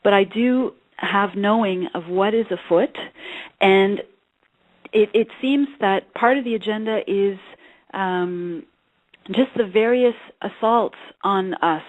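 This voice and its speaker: A woman's voice: medium pitch, unhurried, no echo. A woman speaking as a guest on a talk show, earnest and hesitant, with many fillers. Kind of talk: casual talk show chat. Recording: dry tinny phone line.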